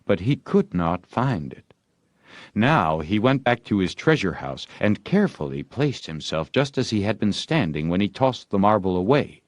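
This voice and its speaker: A man's voice: lowish voice, sing-song, normal speed, no room sound. A man narrating a story expressively as an audiobook reader.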